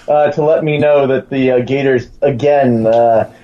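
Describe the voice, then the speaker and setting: A man's voice: mid-range voice, steady tone, a little slow, lo-fi sound. A man chatting casually as a guest, playful and joking in how he talks. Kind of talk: casual chat.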